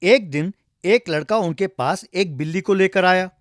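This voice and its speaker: A man reads a scripted comic folktale as narrator. His voice is high for a male speaker. The recording is close and dry, with no room sound. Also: moderate pace, no room sound. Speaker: storyteller.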